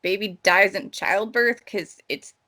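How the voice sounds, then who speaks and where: A woman with a mid-pitched voice discusses a book as a co-host. She is conversational and playful, with a sarcastic edge to her casual delivery. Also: unhurried, bright, forceful tone. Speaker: podcast co-host.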